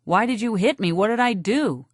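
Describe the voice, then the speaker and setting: A woman's voice: lively intonation, normal speed, dry recording, clean studio sound, lightly acted. A woman narrator voicing a little boy who cries out shrilly. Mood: wailing. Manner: shrill, crying out.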